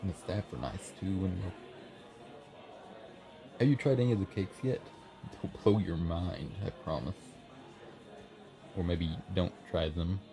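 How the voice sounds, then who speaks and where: A man's voice: deep voice, a little slow, pauses often, warm tone. A man playing a shy, friendly young writer in an ASMR roleplay, chatting bashfully and earnestly, a little hesitant. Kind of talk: ASMR roleplay, soft conversational acting. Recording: busy café crowd murmur behind. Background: café crowd chatter.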